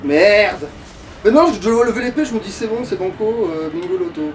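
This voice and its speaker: A man's voice: high-pitched, expressive, normal speed, far from the mic, background noise. A man giving casual gaming commentary to a co-player, foul-mouthed and grumbling. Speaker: let's player.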